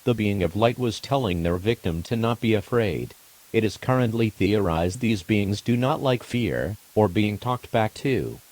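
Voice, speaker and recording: A man's voice: lowish voice, animated, measured pace, darkish tone, low-quality recording. A man reading a scripted emergency broadcast as its announcer, formal and impersonal.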